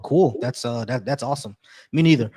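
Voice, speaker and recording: A man hosting a casual live stream, relaxed and chatty, greeting viewers with shout-outs and often restarting his sentences. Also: medium pitch, a little fast, breathy edge. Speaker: livestream host.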